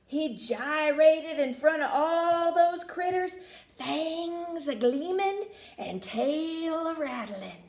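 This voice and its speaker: Expressive high-pitched woman reading a storybook. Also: slow, roomy sound. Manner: expressive, theatrical. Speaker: storybook reader. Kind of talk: dramatic children's storybook narration.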